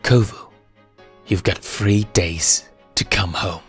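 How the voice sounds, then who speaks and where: A man's voice: deep voice, fairly even intonation, slow, a bit bright, breathy edge, soft background music, full character voice. Man putting on a cold, pushy young lioness. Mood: menacing. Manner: insistent, scornful, commanding.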